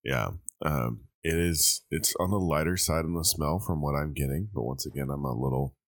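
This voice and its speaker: Very deep-voiced congested man. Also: moderate pace, no room sound. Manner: thinking aloud with many ums. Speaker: host tasting liquor blind. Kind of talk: casual tasting chat.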